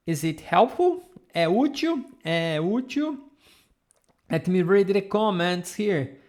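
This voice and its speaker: A woman's voice: lowish voice, a little mellow. A Brazilian woman with a Brazilian accent teaching Portuguese in a live online lesson, patiently repeating sounds for students to copy. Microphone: camera mic.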